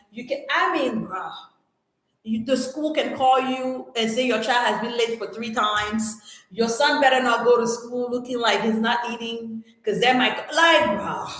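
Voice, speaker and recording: Lively echoey woman from Cameroon. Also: a little high. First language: French. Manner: animated, emphatic, slangy with rising exclamations. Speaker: livestream host answering viewer questions. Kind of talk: casual live Q&A chat. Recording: very echoey room.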